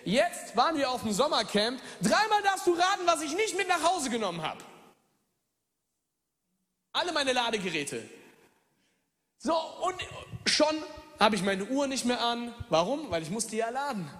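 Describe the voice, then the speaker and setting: A man in his thirties from Germany preaches in a raised, high voice. The sound rings through a church hall with a long reverb. He turns from loud, pressing calls to soft near-whispers and speaks straight to his listeners. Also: sing-song, moderate pace, long pauses. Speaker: pastor. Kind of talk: lively sermon with personal stories.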